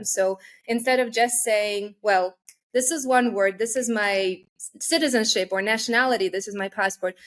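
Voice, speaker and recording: A woman from Russia, a guest expert, giving measured and articulate interview answers. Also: medium pitch. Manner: measured, articulate, carefully chosen words.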